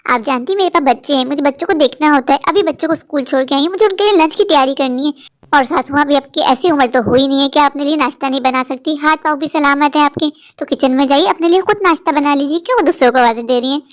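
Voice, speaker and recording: A woman's voice: high-pitched, fast talker, natural voice. A woman doing a fed-up elder daughter-in-law. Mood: irritated. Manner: bitter, sharp retorts. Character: defiant young mother, the weary elder daughter-in-law.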